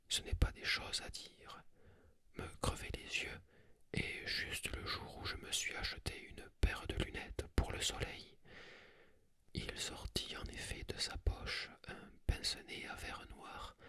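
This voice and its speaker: A reader whispering a literary story in a measured, deliberate way, in an ASMR style. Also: a bit bright.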